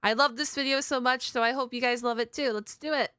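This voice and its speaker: A woman doing casual, chatty reaction commentary, exclaiming and thinking out loud in an informal way. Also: fairly high pitch, steady tone, fast talker, clean tone, no room sound. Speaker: reaction video streamer and host.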